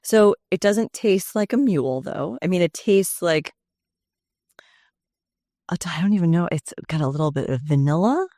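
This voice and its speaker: A woman hosting a podcast interview, chatty and jokey with quick reactions. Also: fairly low pitch, lively intonation, warm tone, no echo, studio quality. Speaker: podcast host.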